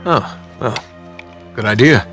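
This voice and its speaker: A man playing a wry, quick-witted treasure hunter in a video game cutscene, casual in manner. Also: medium pitch, fairly bright tone, faint music behind. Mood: sarcastic.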